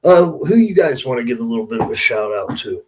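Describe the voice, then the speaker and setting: A man's voice: medium pitch, animated, brisk, rough recording. A man hosting a podcast in casual, conversational chat. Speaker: podcast host.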